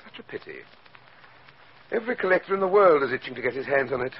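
An actor playing a genteel, scheming criminal mastermind posing as an art collector, suave and fussily courteous in 1940s radio style. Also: male voice, mid-range voice, big pitch swings, husky. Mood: genial. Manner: suave, fussy, mock-courteous, deliberate. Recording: dull, noisy 1940s radio recording.

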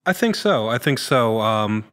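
A man in his thirties chatting casually as a podcast guest, halting and informal, with a self-deprecating manner. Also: mid-range voice, very animated, a bit bright. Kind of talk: casual chat. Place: large indoor studio room. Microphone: boom-mounted studio mic.

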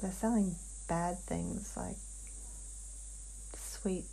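A woman describing a trance vision in a dreamlike way as a guided hypnosis client, halting and hushed, with long pauses. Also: fairly low pitch, fairly even intonation, creaky, a little hiss or hum, rough recording.

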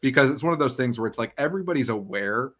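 A man's voice: medium pitch, expressive, fast talker, a bit bright. A man in his thirties talking casually as a podcast host, giving conversational commentary. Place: indoor home room.

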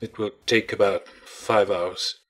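A man voicing a bitter gentleman scientist who speaks coldly and with contempt. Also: fairly low pitch, fairly even intonation, slow pace. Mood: cold, scornful. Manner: cold, contemptuous.

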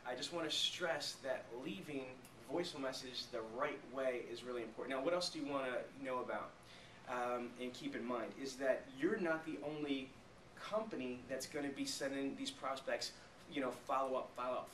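A man's voice: medium pitch, moderate pace, hardly any pauses, fairly bright tone. A man in his thirties giving an emphatic sales training talk to a small group, often stopping to ask rhetorical check questions. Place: small meeting room with a flip chart. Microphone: camera mic a few metres away. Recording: echoey room, distant mic, faint noise.

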